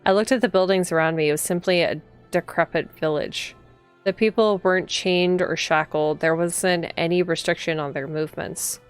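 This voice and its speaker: Woman as earnest visual novel narrator, uneasy officer, measured, lowish pitch, music behind. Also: fairly even intonation, clean tone, lightly acted.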